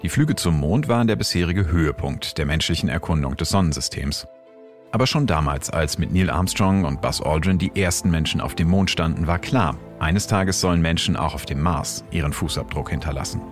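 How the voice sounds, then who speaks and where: A man's voice: very deep voice, brisk, faint music behind. A man hosting a science podcast, giving scripted explanatory narration. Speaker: host and science presenter.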